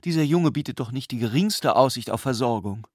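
A man's voice: medium pitch, expressive, brisk, dry recording, clean studio sound, close to an everyday voice. A man voicing a worried, indignant middle-aged bourgeois mother, sighing and agitated, in a radio drama.

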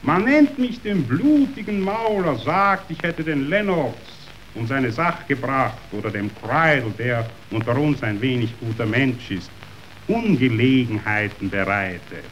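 A grandiose, self-justifying tycoon in a verse radio play. He declaims slowly and wheedles in a high voice that swings widely. The recording is dull. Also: animated, fairly bright tone, slight background noise. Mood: condescending, musing. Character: ruthless meat magnate, grandiose, sentimental, self-justifying. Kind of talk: theatrical verse radio drama.

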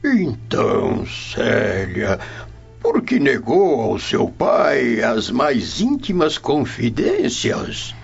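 Slow, creaky old Roman grandfather, Brazilian. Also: medium pitch, huge pitch swings, heavily acted. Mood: gently reproachful. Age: older. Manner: solemn, paternal, deliberate. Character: elderly Roman grandfather, grave, tender but perplexed traditionalist. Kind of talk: radio drama acting. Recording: muffled lo-fi radio drama with music.

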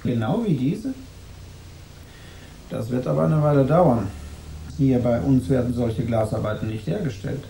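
An actor playing a blunt, dry shopkeeper in a radio drama, speaking deliberately in an echoey room. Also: male voice, medium pitch, vocal fry, warm tone, a little hiss or hum. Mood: dry, reluctant.

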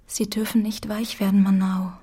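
An actress playing a steadfast, composed young woman in a literary radio drama, soft, earnest and formal. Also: medium pitch, flat delivery, moderate pace, breathy edge, dry recording, natural voice.

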